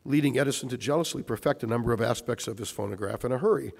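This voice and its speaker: A man with a hoarse, croaky voice reading a prepared history lecture to an audience in formal, wordy phrasing, often clearing his throat. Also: mid-range voice, racing. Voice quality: croaky, recovering voice. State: hoarse, getting over voice loss. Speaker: speaker giving a history presentation. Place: large echoing room with a presentation screen. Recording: reverberant hall sound.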